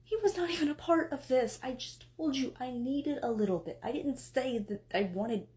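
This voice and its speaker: A woman voicing an exasperated goddess who scolds her husband, swinging between sharp outbursts and weary pleading. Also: high voice, normal speed, lightly acted. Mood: frustrated. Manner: scolding, then pleading and tearful. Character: exasperated, emotional goddess wife.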